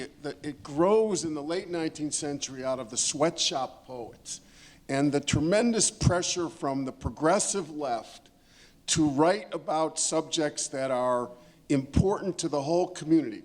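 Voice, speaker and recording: A man on a panel explaining his point with conviction, emphatic and repeating phrases for effect. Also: fairly high pitch. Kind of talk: impassioned explanatory panel talk.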